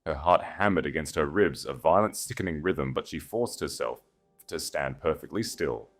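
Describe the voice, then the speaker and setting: A man's voice: very deep voice, lively intonation, moderate pace, faint music behind. A man narrating a scripted story in a dramatic storytelling style.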